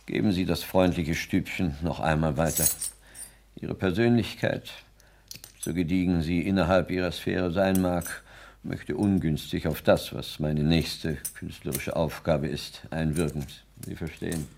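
A grandiloquent would-be man of action in a 1960s radio play. His voice sits very low with a creaky grain. He declaims solemnly and loftily, full of his own importance. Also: fairly even intonation, measured pace, a little mellow, exaggerated voice. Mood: lofty, dismissive. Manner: high-flown, solemn, declamatory. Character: pompous self-styled artist lodger, a grandiloquent man of action.

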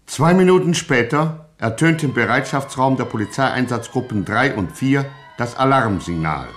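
A man's voice: medium pitch, animated, normal speed, fairly bright tone, slight background noise. A man narrating a satirical radio drama in a scripted, mock-epic style.

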